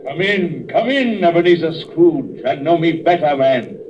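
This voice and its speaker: A solemn, commanding giant spirit in an old radio play. The voice is fairly high for a man and declamatory, somewhat distant in a noisy recording. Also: animated, measured pace. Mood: hearty welcome. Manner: stately, declamatory. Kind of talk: 1930s radio acting. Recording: muffled, noisy, distant 1930s radio broadcast.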